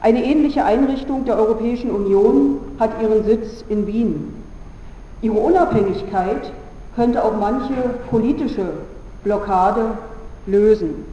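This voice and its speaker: A woman from Berlin giving a formal, measured conference speech as a politician, earnest, with deliberate pauses. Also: on the high side, dark tone, a little hiss or hum. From Berlin, Germany. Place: large conference hall. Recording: echoey hall, dull sound, faint ambience.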